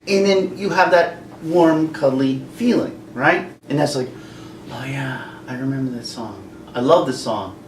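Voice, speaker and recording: A man hosting a casual rant to camera, jokey and animated, now and then slipping into silly singing. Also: on the high side. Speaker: YouTube host. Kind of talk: ranting commentary to camera. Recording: echoey room, mic at a distance, faint background noise.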